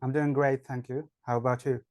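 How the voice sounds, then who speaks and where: A man's voice: medium pitch, little pitch movement, brisk, a little mellow, no room sound, clean studio sound. A man with a French accent, an online English teacher, answering interview questions in a hesitant way with many fillers. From France.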